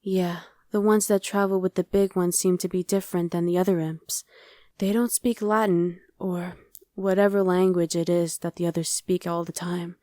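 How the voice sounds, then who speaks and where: A friendly young woman survivor, acted by a woman in an ASMR roleplay, with a soft-edged mellow tone on the low side. The recording is very dry and close. She talks casually and a little hesitantly, matter-of-fact about surviving demons. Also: level tone, moderate pace, no room sound, natural voice. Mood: wry, explaining. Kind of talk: ASMR roleplay, conversational acting addressed to the listener.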